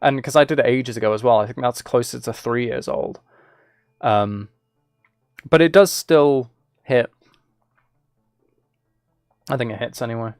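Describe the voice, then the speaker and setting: A man's voice: medium pitch, a little slow, long pauses, clear, resonant tone, no room sound, studio quality. A man chatting casually as he hosts a livestream, rambling and thinking aloud. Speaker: streamer.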